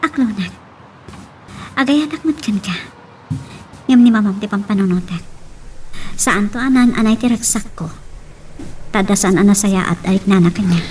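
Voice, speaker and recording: A woman's voice: mid-range voice, moderate pace, pauses often, darkish tone, far from the mic, a little hiss or hum, natural voice. A woman acting a young woman in love, hurt and proud, in an Ilocano romantic radio drama, slightly off the mic. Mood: wounded, tender.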